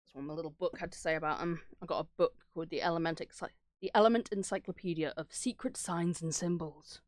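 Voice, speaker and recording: Woman, slightly low voice, casual streamer chat, irreverent, sweary, rambling storyteller, dry recording. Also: fast talker, no echo. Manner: acts out dialogue when retelling stories. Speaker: streamer reacting to horror videos.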